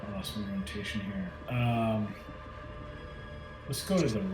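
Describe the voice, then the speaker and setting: Male tabletop player, slow casual delivery, roomy echo on the voice and music behind. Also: fairly low pitch. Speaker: player in a tabletop roleplaying game. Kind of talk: casual game talk.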